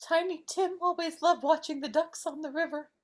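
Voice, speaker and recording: A woman voicing a gentle, grieving Muppet pig mother in a live dramatic reading. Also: high-pitched, fairly even intonation, normal speed, natural voice. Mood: tender, subdued.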